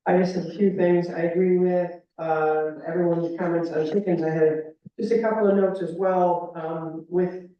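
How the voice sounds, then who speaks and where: A muffled, strongly echoing recording of a man with a fairly high, level voice. He offers informal comments as a resident at a town meeting. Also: steady tone, moderate pace. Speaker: resident giving public comment. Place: indoor public meeting room. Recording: very echoey meeting room, distant muffled mic.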